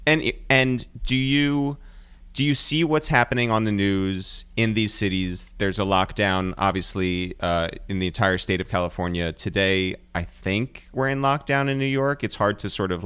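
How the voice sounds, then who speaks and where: A man hosting a casual interview from home, asking halting questions full of fillers. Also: sits low, fairly bright tone, clean tone. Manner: halting, many fillers and restarts. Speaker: host. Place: apartment.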